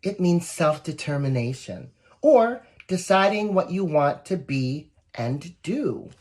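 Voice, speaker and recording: Storybook reader, very animated intonation, expressive, talks directly to the kids between lines. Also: medium pitch, takes it slowly. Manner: expressive, addresses young listeners directly. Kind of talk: children's picture book read-aloud with asides.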